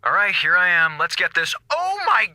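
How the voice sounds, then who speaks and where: A man's voice: sits high, huge pitch swings, moderate pace, bright, forceful tone, dry recording, clean studio sound, exaggerated voice. A man with an American accent playing a glib, wry, wisecracking communications officer on a space station in a radio drama. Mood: sarcastic, excitable. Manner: glib, wry, theatrical, stammering when cornered. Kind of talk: radio drama acting with narrated log entries.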